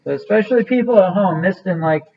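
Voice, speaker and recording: Higher-voiced male art teacher, muffled recording. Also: animated, fast, creaky. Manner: informal, thinking aloud as he works. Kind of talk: hands-on demonstration, casual instruction.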